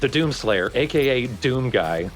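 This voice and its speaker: A man's voice: mid-range voice, expressive, unhurried, faint music behind. A man giving dry, casual co-commentary on a video game. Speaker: let's play co-commentator.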